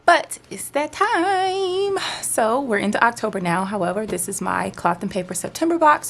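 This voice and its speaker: A woman's voice: on the high side, a little hiss or hum. A woman, chatting casually through a planner unboxing, a bit stuffy from a sinus cold, rambling and friendly with lots of fillers. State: slightly stuffy, congested from sinus trouble. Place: indoors at a desk under lights. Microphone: overhead camera, hands only.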